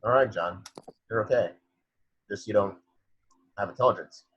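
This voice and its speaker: A man voices a droll, weary intellectual who lectures in halting phrases at a table read. His voice is lowish and very raspy, on a dull, dry recording. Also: a bit bright, no room sound. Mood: dryly critical. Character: wounded, sardonic male intellectual, droll and weary. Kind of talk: amateur screenplay table read.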